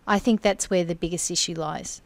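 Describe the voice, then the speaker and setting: A woman interpreting for an interview guest in the first person, speaking in measured, deliberate phrases. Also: fairly low pitch, fairly even intonation, no echo. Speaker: sign language interpreter voicing the guest.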